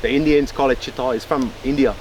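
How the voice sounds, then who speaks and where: A middle-aged man, a local hunter telling stories in a relaxed, earnest, drawn-out way. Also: mid-range voice, over a noisy crowd, poor audio. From Hawaii. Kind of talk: casual storytelling.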